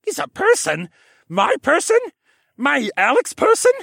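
A man's voice: very high voice, huge pitch swings, a little slow, gravelly, fairly bright tone, no room sound, studio quality, voice pushed up, full character voice. A man voicing an impulsive, emotional young male hero in a fantasy audiobook, blurting urgently and informally. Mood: amazed, giddy.

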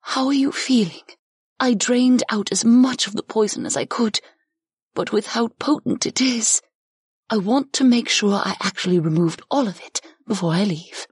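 A woman voicing a gentle, reassuring goddess healer in a fantasy audiobook, soothing and polite. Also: on the high side, moderate pace, breathy edge, no echo, studio quality. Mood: caring. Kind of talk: audiobook character dialogue.

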